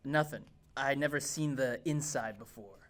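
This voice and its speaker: A man's voice: medium pitch, normal speed, fairly bright tone, close to an everyday voice. A man playing a laid-back young vaping sound guy in a scripted TV drama read.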